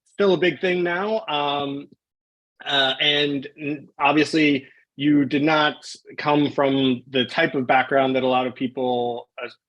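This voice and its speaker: A man's voice: fairly high pitch, level tone, slow, a bit bright, lo-fi sound. A man hosting a live online interview on a video call, friendly and informal as he introduces his guest. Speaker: host, webinar moderator.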